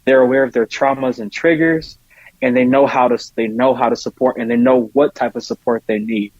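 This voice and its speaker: A man's voice: medium pitch, steady tone, brisk, a little mellow, breathy edge, no room sound, dull audio. A man speaking casually and earnestly as a podcast guest, often repeating points for emphasis. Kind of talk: casual conversation.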